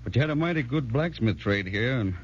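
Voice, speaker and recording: An actor playing a concerned, loyal country neighbor, earnest and folksy, with a rural American accent in a 1940s radio drama. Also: male voice, medium pitch, lively intonation, fairly quick, natural voice. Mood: worried, resigned. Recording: muffled, faintly noisy 1940s radio recording.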